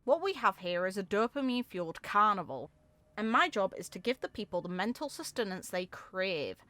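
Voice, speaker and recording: A woman's voice: mid-range voice, sing-song, brisk, pushed and bright, dry recording. A woman voicing a playful, attention-hungry young female streamer from a game story, perky and teasing. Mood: showy.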